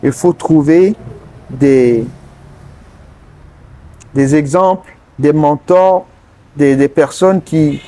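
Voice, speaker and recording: A man from Togo, answering interview questions earnestly and pausing often mid-sentence to find his words. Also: a little high, mellow. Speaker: interview guest, politician.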